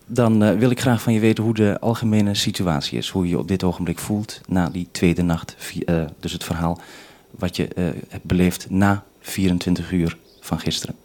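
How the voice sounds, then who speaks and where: Low-voiced male radio host. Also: flat delivery, moderate pace, a little mellow. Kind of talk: explanatory radio presenting and interviewing.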